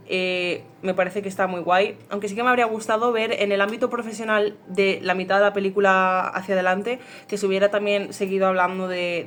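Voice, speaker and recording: A woman with a Castilian Spanish accent speaks in an average pitch. Her delivery is casual interview talk, with soft music in the background. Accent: Spanish (Spain). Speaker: amateur voice actor.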